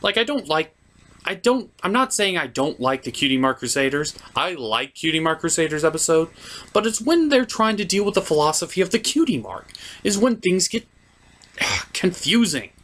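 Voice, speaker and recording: A man with a somewhat high voice presents a comedic cartoon review. His intonation swings widely, and he leans into mock-dramatic emphasis. The commentary is scripted, with conversational asides. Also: very animated, moderate pace, a bit bright. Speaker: host of a cartoon review show.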